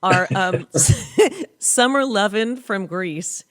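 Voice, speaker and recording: A middle-aged woman hosts a casual conversation, spinning stories and breaking into laughter often. Her voice sits at an ordinary pitch for a woman. She speaks close into a studio mic in a home studio. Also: expressive, very slow, a bit bright. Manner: laughing, storytelling. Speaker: host. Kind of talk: casual chat. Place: home studio with a lit backdrop. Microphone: studio mic on a boom arm.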